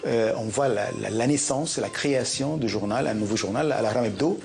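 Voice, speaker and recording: Gravelly man, hesitant documentary interview. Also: mid-range voice, a little fast, a little mellow. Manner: hesitant, self-correcting. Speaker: interviewee about the press.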